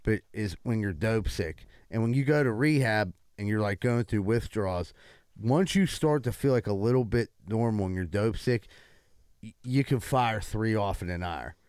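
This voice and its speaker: A man's voice: on the low side, animated, normal speed, a little mellow, clean tone, no echo. Man in his forties, dry close sound. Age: early 40s. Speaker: talk show host. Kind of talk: casual comic banter, crude.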